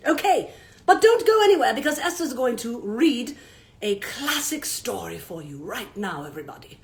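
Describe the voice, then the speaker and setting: A woman voicing a groggy male owl puppet with a croaky voice and drowsy, old-fashioned politeness. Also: fairly high pitch, sing-song, moderate pace. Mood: polite.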